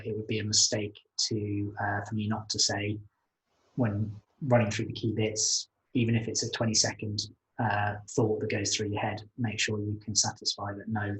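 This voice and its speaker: A man with a lowish voice and a flat, even delivery answers audience questions on an online business webinar. He talks through finance points slowly and haltingly, filling gaps with ums and restarting his sentences. His tone stays level and unhurried. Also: low-quality recording. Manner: hesitant, many fillers and restarts, explanatory.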